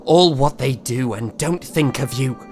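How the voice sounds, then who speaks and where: A man playing an unwell, proud young composer in a period audio drama, shaken and speaking in formal, halting, old-fashioned phrases. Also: medium pitch, faint music behind, lightly acted. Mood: indignant. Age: young adult. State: weak, shaken, coughing. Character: unwell, wounded, proud young male composer, courteous and agitated.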